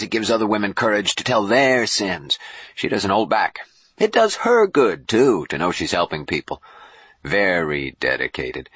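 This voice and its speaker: A man voicing a brash, jovial bishop in an audiobook, a folksy, booming hard-sell showman. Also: pushed and bright, breathy edge, dry recording, full character voice. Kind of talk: audiobook character voice.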